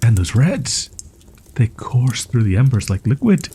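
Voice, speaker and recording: Man playing a husky, halting, proud wolf-boy. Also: medium pitch, sing-song, dark tone, exaggerated voice. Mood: wistful, awed. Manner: archaic formal diction, halting, flustered stammers. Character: shy, proud tsundere young wolf-boy, wary of humans. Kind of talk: audio roleplay, character acting, ASMR.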